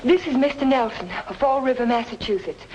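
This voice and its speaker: Noir radio ally: woman playing determined female, formal and deliberate, slightly high voice, light background noise. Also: dull audio, natural voice. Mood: firm, formal. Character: brisk, determined woman presenting a surprise witness. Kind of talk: old-time radio noir drama acting. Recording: faintly noisy old radio recording.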